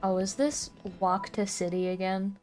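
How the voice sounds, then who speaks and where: A woman's voice: mid-range voice, level tone, unhurried, a little mellow. A woman giving casual live commentary as a game streamer. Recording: faint game music behind voice.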